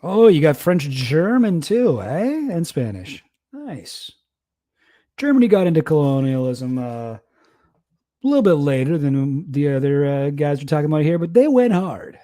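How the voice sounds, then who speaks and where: A man's voice: a little high, sing-song, moderate pace, warm tone. A young man casually commenting on a livestream, rambling, self-deprecating and laughing often. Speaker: streamer reacting to a debate.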